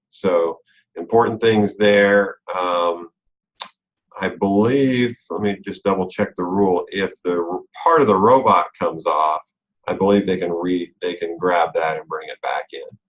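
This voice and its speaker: An American man explaining rules informally and step by step, as a coach leading a conference call. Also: low-pitched. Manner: conversational, explanatory, talks through rules step by step. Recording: dull, lo-fi conference call audio.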